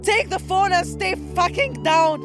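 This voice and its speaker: A woman's voice: extremely high pitch, unhurried, music in the background. A woman acting in a radio drama as a hard-nosed, guarded, teasing woman with a blunt manner. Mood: urgent. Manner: blunt, switches into English phrases.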